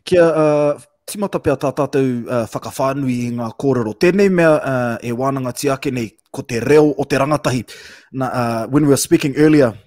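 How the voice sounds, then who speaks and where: A New Zealand man hosting a panel discussion over a video call, speaking in a measured way with frequent fillers. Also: medium pitch, darkish tone, no room sound, clean studio sound. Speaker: host. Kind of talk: panel discussion, mixing Māori and English. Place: home room, video call.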